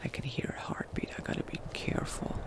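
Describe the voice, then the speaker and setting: A man's voice: fairly quick, natural voice. A man voicing a cautious, coldly pragmatic new vampire in a roleplay, muttering to himself and often dropping into a whisper. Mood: wary, furtive. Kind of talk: in-character roleplay narration, thinking aloud. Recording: noticeable background noise.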